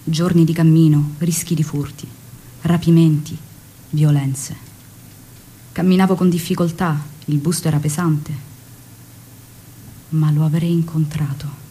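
An actress playing an earnest, ardent woman guerrilla recalling her youth in a measured stage monologue. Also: sits low, flat delivery, warm tone, husky. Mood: earnest, tense.

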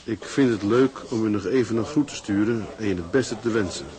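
An actor playing an earnest, determined young ship's mate in a radio drama, speaking plainly and hesitantly in a very husky, breathy voice. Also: male voice, on the low side, a little fast, a crowd in the background, natural voice. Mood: tender. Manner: hesitant, intimate, plain-spoken.

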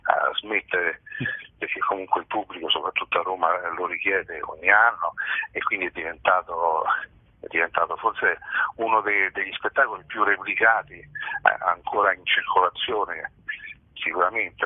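Low, husky man on a phone line. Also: steady tone. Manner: hesitant, halting, thoughtful, searching for words. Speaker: stage actor, interview guest. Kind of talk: spontaneous interview answers. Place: phone interview. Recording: muffled roomy telephone line.

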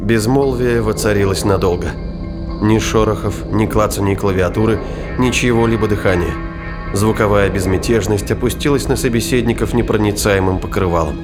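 Low-voiced male narrator over music. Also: moderate pace. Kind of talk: dramatic scripted narration of science fiction thriller.

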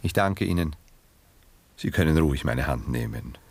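A cultivated gentleman in a radio drama, his voice low and husky. He speaks with formal, ironic detachment and stays composed. The sound is close and dry, in the acting style of 1960s radio. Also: expressive, no room sound, full character voice. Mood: resigned, composed. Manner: formal, measured, detached courtesy.

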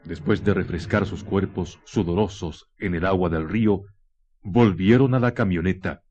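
A man's voice: low-pitched, moderate pace, a little mellow, slightly rough. A man giving solemn, literary narration for a radio drama. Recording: dull lo-fi 1990s radio recording. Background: music.

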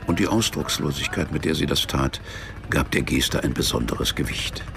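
A male actor narrating a literary radio play in a measured, detached way, as a storyteller with dry irony. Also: a bass voice, expressive.

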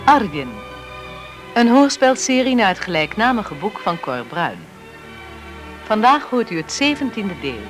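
A man's voice: high voice, sing-song, unhurried, pauses often, a bit bright. A man reading a scripted announcement as the announcer of a radio drama series. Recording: music under announcement. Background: music.

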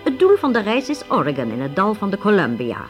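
A woman narrating a radio drama from a script in a clearly phrased storytelling manner. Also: medium pitch, huge pitch swings, fast talker, soft background music.

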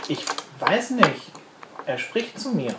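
A man's voice: on the high side, big pitch swings, slow, pitched above the performer's own voice. A man voicing a small, naive boy who speaks in simple, childlike phrases. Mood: innocent wonder. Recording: roomy, noisy tabletop recording.

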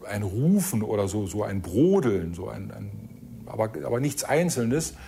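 A man from East Germany gives an eyewitness recollection, halting and deliberate, careful about detail. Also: on the low side, sing-song, vocal fry, mellow, slight background noise. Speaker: eyewitness interviewee. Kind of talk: spontaneous spoken recollection.

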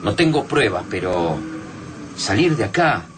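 Casting: wary Argentine radio-drama resistance fighter, lowish animated voice, clearly noisy background. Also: male voice, measured pace. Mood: firm, wary. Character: wary, pessimistic, sober male resistance survivor.

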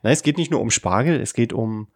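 A man's voice: mid-range voice, fast, gravelly, a little mellow, dry recording, studio quality. A man from Berlin co-hosting a podcast, playful and joking, often asking questions. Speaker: podcast co-host. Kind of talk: casual chat.

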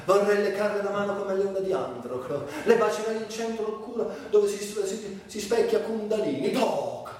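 A man's voice: high voice, expressive, normal speed, few pauses, darkish tone. A volatile, crude ex-junkie in a stage monologue. His voice swoops wildly between mutters and shrieks, ranting and wheedling. He sounds set back from the mic in a very reverberant space, with faint noise. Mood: fawning, agitated. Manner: erratic, swinging from muttering to shrieking. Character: volatile, foul-mouthed former addict, ranting and wheedling. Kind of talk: theatrical dramatic monologue. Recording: echoey, distant, faint background noise.

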